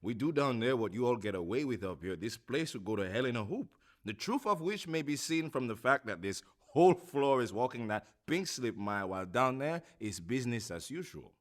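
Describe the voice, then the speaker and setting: An actor plays a brash mailroom guy who teases and quips with showy patter. His mid-pitched male voice swings up and down in comedic radio drama style. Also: very animated, lightly acted. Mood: mock boasting. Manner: showy, teasing, fast-quipping patter. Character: brash joking mailroom guy, teasing office pal.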